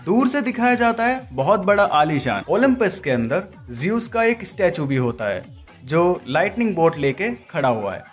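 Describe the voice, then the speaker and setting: A man's voice: sits high, big pitch swings, normal speed, faint music behind. A man hosting an informal, opinionated movie breakdown as an explanatory voice-over. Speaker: video host, trailer breakdown narrator. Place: voice-over recording.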